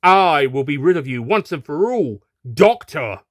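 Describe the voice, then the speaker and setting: Big vengeful horse tyrant for an audio story, played by a man: booming, snarly, pompous, with lively swings in pitch. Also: a little slow, no room sound, studio quality. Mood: furious. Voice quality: deep, booming. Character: large, vengeful, pompous male horse creature villain.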